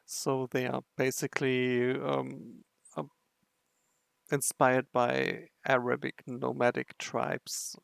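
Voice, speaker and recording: A man's voice: medium pitch, completely flat delivery, takes it slowly, creaky, dry recording. A man giving casual playthrough commentary on a game, speaking in a hesitant way as he thinks aloud. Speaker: game video narrator and player.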